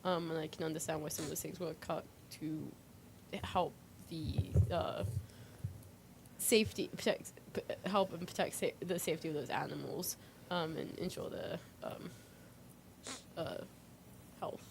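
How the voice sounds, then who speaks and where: A woman on a talk show panel giving her opinions in a halting, casual discussion style. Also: low-pitched, fairly even intonation, gravelly, noisy background.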